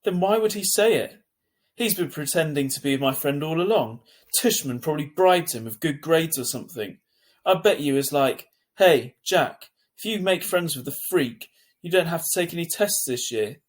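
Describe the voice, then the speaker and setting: A man's voice: on the high side, expressive, normal speed, pitched above the performer's own voice, close to an everyday voice. A man voicing an upset, angry ten-year-old boy, bitter and hurt, in a children's novel read aloud. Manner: sullen short answers rising to shrieking.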